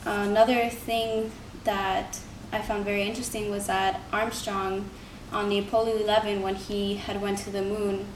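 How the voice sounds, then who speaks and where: A woman's voice: medium pitch, flat delivery, normal speed, few pauses. A young woman giving an earnest, informal persuasive speech, like a student presenting to a class. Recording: roomy, distant mic, noisy background.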